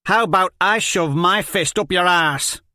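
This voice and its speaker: A man's voice: fairly high pitch, fairly even intonation, measured pace, no echo, clean studio sound, pitched above the performer's own voice, close to an everyday voice. Man voicing an angry, hot-tempered girl. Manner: heated, scolding. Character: big-tempered, pious teenage girl.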